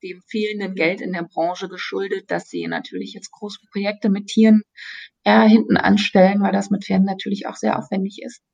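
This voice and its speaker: A woman's voice: mid-range voice, animated, a little fast, vocal fry, darkish tone, highs cut off. A woman, a voice actress and mother, talking cheerfully in an interview. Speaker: voice actress guest. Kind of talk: casual interview talk.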